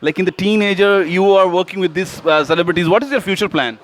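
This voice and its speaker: High-voiced, very fast-talking male radio host. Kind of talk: celebrity interview, mixing Urdu and English.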